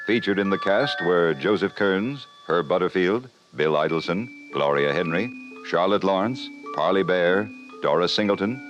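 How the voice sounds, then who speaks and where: An American man reading credits as a 1950s radio network announcer. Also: sits low. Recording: dull vintage radio broadcast recording.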